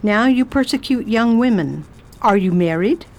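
A formidable spinster travel writer who gives orders and talks down to everyone, as a woman acts her. Her manner is crisp, condescending and brisk. Radio play acting. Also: a little high, lively intonation, darkish tone. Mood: stern. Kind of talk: amateur radio drama acting.

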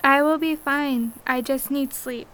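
A woman's voice: sits high, fairly even intonation, measured pace, a bit bright, big-room sound. A woman playing an innocent, earnest amnesiac little girl in an audio drama, speaking in formal, stilted phrases. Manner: formal, stilted speech without contractions. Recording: clear background hum and noise.

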